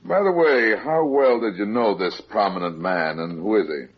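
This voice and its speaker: An actor playing a cool, blunt private detective in a 1950s radio drama, terse and wryly deadpan. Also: male voice, fairly low pitch, normal speed, breathy edge. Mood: cool, probing. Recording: muffled 1950s radio recording.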